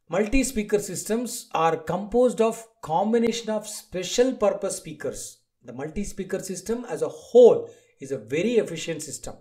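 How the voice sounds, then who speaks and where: A man with a fairly high, soft-toned voice delivers a prepared lecture. He reads deliberately, breaking the material into short phrases and numbered points. The timbre is mellow rather than sharp. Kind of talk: scripted lecture read from slides.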